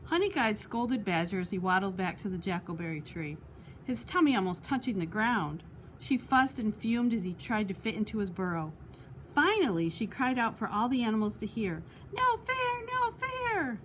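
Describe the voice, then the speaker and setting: A woman's voice: mid-range voice, lively intonation, moderate pace, a little hiss or hum, rough recording. A woman reading a children's picture book aloud expressively, as a storytime host. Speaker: storytime reader.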